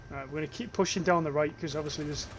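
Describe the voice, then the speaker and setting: Young northern English man, casual livestreamer, chatty and sweary, slightly high voice, clear game noise underneath. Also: steady tone, very fast. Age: young adult. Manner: chatty, swears casually, reads out chat comments. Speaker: streamer. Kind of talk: casual livestream commentary while gaming.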